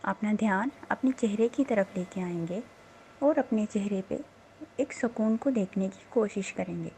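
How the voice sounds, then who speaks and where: A woman meditation instructor leading a slow guided relaxation in a soothing, unhurried, gently instructing manner with pauses. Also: mid-range voice, slight background noise, poor audio.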